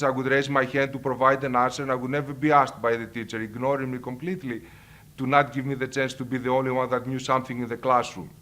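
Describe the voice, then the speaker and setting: A middle-aged man from Greece with a Greek accent, a marketing professor telling his life story and giving earnest advice, pausing and repeating himself now and then. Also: mid-range voice, fairly bright tone. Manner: earnest, with repetitions and hesitations.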